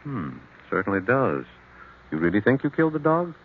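An actor playing a calm, probing doctor with an authoritative manner of questioning, in old-time radio mystery style. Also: male voice, medium pitch, normal speed. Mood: skeptical, soothing. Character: calm, probing male doctor examining a patient. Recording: dull, noisy vintage radio recording.

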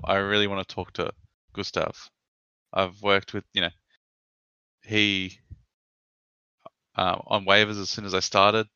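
Deep, flat-voiced Australian man. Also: smooth, no room sound. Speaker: interviewer. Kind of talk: casual interview chat. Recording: dull, dry sound.

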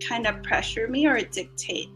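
A woman's voice: on the high side, moderate pace, over music. A woman in her thirties from the Philippines, a podcast guest talking reflectively in casual conversation with many fillers. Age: 30s.

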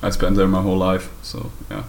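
A man's voice: deep voice, monotone, a little fast. A man from Germany answering plainly and directly. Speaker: interviewee.

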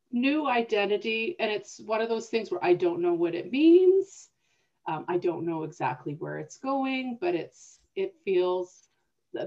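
A woman's voice: fairly low pitch, mellow, muffled recording. A woman co-hosting a casual conversation, thinking aloud with small restarts. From Canada. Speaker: co-host. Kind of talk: casual conversation over video call.

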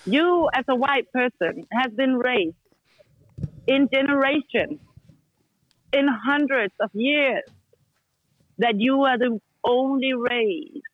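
A woman's voice: high-pitched, slow pace, pauses often, no echo. A woman on a dull, muffled call line with no room sound at all. She speaks bluntly and with force, in English with non-native grammar. She repeats short commands over and over to drive each point home. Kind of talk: casual chat.

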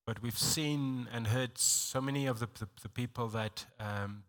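Stammering South African pastor. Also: male voice, medium pitch, a bit bright. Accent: South African English. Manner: halting, stammering restarts. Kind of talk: sermon-like church talk.

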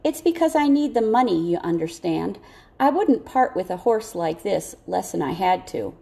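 A woman voicing a furtive, money-minded male farmer, hushed, deferential and pleading, in an audiobook. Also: fairly low pitch, very animated, normal speed, creaky. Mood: apologetic, uneasy.

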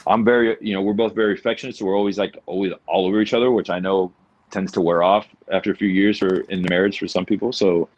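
A man's voice: low-pitched, steady tone, fast, darkish tone, no room sound. A man in his forties chatting casually as a podcast guest, in a rambling, informal way. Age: 40s. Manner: informal, peppered with "man" and "you know". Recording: dull lo-fi audio.

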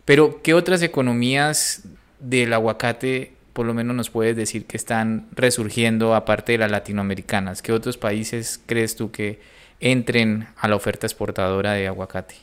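A man's voice: fairly low pitch, steady tone, moderate pace, clear, resonant tone. A man hosting a podcast, asking questions in a conversational interview style.

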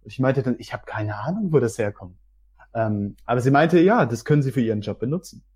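A man's voice: medium pitch, animated, brisk, a little mellow, slightly rough. A man talking casually as a podcast guest, explaining things in an articulate way. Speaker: podcast guest, hobby audio drama maker.

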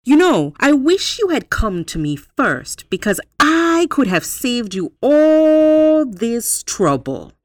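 Folktale spider judge, played by a woman: male, self-important and wise, slow, emphatic, animated pitch. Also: no echo, clean studio sound. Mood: grandly boastful. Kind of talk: folktale character acting.

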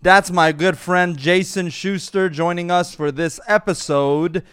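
A man hosts a casual podcast, rambling and laughing as he talks. His voice sits a little high and comes through smooth and clear. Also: steady tone, a little slow, a bit bright. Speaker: podcast host.

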